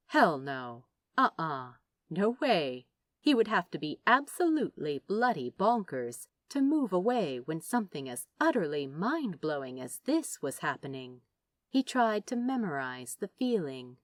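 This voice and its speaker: Animated, low-pitched woman narrator. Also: no room sound. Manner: expressive, theatrical storytelling with dramatic pauses. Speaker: podfic narrator. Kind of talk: dramatic fan fiction audiobook narration.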